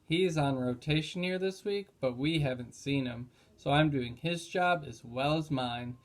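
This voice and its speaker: A man's voice: fairly high pitch, a little slow, close to an everyday voice. Man voicing a flippant young woman park ranger. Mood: breezy. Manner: breezy, curt.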